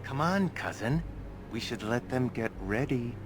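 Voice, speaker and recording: A man's voice: medium pitch, expressive, a little slow, noisy background, lightly acted. An actor playing an anxious, good-natured young male elf in a fantasy video game, hesitant and worried. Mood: wary, urgent.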